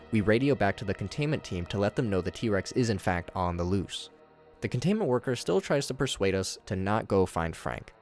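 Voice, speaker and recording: A man giving a scripted narration that recaps horror footage in a casual, wry way. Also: low-pitched. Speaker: narrator of a video commentary.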